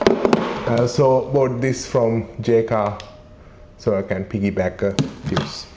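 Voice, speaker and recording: A man giving a casual, low-key and somewhat hesitant unboxing and installation walkthrough. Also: slow pace. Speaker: vlogger demonstrating a product. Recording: clearly noisy, vehicle ambience.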